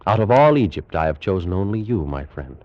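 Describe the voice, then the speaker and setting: Fervent ancient priest of science, very low, formal radio-drama delivery, dull vintage sound. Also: male voice, very animated, brisk, no echo. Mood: solemn, assured. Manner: grave, formal, theatrical delivery. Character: proud, fervent ancient Egyptian priest and alchemist. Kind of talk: 1940s radio drama acting. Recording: muffled old-time radio recording.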